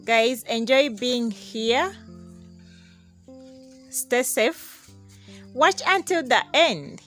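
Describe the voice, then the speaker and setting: High-voiced Ugandan woman vlogging outdoors. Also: lively intonation, drawn-out words, a bit bright, soft background music. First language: Luganda. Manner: repeats "guys" and thanks viewers often. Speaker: vlogger host. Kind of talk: casual vlog to camera. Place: outdoors in a village yard. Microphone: camera on a tripod, a few meters away.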